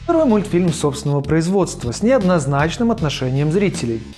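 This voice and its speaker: A young man with a fairly high, animated voice narrates a video essay. He talks informally and gives his opinions in slangy, conversational phrasing. Music plays faintly behind him. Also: brisk. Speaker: host of an animation review channel. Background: background music.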